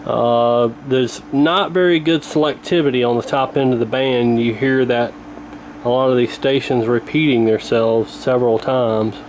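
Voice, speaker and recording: A man's voice: mid-range voice, moderate pace, rough recording. An American man giving a casual hands-on commentary as a radio repair hobbyist, explaining as he goes. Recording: clear steady background noise. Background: old radio being tuned, room noise.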